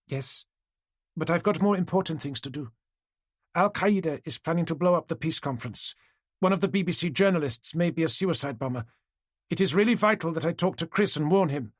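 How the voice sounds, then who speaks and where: A man voicing a determined young woman who escaped captivity, speaking urgently and forcefully, often at a shout. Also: fairly high pitch, fairly quick, no echo, studio quality, voice pushed up, natural voice.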